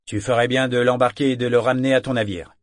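Un homme faisant un gaillard de taverne bourru. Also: male voice, lowish voice, steady tone, normal speed, no room sound, studio quality, natural voice. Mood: gruff. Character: gruff booted tavern man, blunt and scolding.

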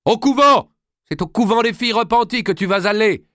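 A man's voice: low voice, sing-song, fast, fairly bright tone, breathy edge, no room sound, clean studio sound, full character voice. A man voicing a stern, imperious medieval noblewoman mother, scolding and commanding, in an audiobook. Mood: shouting fury. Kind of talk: dramatised audiobook dialogue.

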